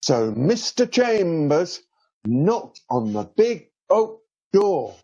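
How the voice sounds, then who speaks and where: Expressive husky man reading a children's story. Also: on the high side, very slow, highs cut off. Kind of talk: scripted children's storybook narration.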